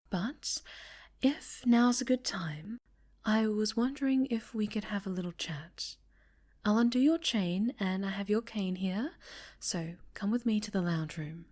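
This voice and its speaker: Woman acting a breathy, slow-talking obsessive yandere superheroine. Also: mid-range voice, lightly acted. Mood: quietly controlling. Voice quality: soft, breathy. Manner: cooing, slow, often dropping into whispers. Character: obsessive, possessive yandere superhero woman, sweetly menacing captor. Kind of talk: ASMR roleplay, intimate scripted monologue.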